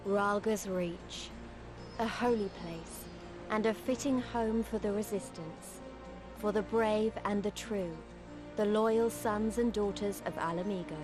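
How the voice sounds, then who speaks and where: A woman voicing an earnest, determined young resistance fighter in a dramatic video game style, speaking in a measured, heartfelt way. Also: mid-range voice, natural voice. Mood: solemn, reflective. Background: orchestral music.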